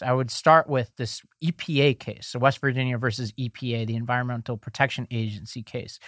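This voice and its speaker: A man's voice: mid-range voice, fairly bright tone, dry recording, clean studio sound. A man co-hosting a political podcast, explaining court decisions step by step and reading ads in a casual tone. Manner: explanatory, walks through legal details step by step. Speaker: podcast co-host.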